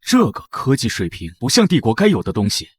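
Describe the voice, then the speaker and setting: Casting: analytical military marshal for animated drama, formal and fast-talking, husky voice with lively inflection. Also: male voice, medium pitch, no room sound, clean studio sound. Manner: formal, brisk. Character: analytical, formal imperial marshal, dutiful strategist.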